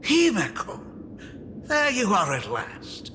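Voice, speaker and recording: A man's voice: high-pitched, huge pitch swings, slow pace, pushed and bright, slight background noise, heavily acted. A man voicing a doting, fretful middle-aged school dean in a video game, with a theatrical, fussing manner. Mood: anxious, scolding. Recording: long reverb under background music.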